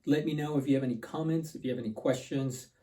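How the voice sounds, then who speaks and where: A man's voice: mid-range voice, fast talker, warm tone. A man from Peru, a native Spanish speaker, talks reflectively and informally to viewers, often repeating words as he goes. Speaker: patent attorney, video host. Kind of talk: informal explainer to camera, personal storytelling. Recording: distant from the microphone.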